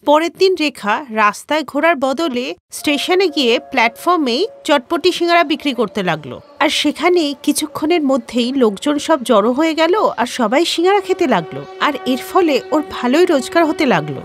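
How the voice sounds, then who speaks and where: A woman's voice: high voice, very animated, normal speed, soft background music. A woman narrating a scripted children's story as the storyteller.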